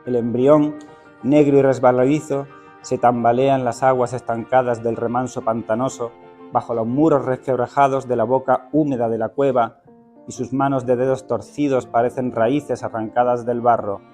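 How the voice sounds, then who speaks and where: A man with a mellow, warm voice reads poetry aloud at an even, unhurried pace, pausing thoughtfully between phrases. Faint music sits softly behind him. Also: mid-range voice. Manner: unhurried, thoughtful, with hesitant fillers. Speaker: teacher reading poetry at a literary event. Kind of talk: poetry reading with spoken introduction.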